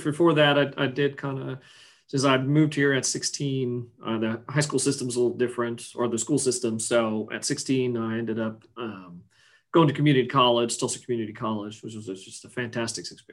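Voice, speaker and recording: A man in his forties from Iceland with no accent left, a business owner chatting loosely as an interview guest, full of fillers and restarts. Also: medium pitch. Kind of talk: casual interview chat.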